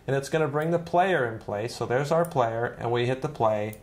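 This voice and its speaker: A man narrating an informal step-by-step software tutorial in a conversational, demonstrative way, as a trainer would. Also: mid-range voice, moderate pace.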